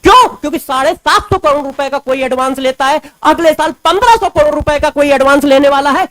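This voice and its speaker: छात्रों से बात करती एक शिक्षिका जोश के साथ अपनी बात रखती है और ज़ोर देने के लिए आंकड़े दोहराती है।. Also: female voice, high-pitched, brisk, a bit bright. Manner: emphatic, repeats figures for stress. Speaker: educator speaking to students. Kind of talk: impassioned commentary to camera.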